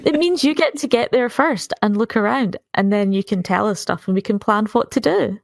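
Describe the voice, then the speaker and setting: A woman's voice: medium pitch, normal speed, gravelly, dry recording. A woman playing a tabletop game, casually chatting and describing what her character does.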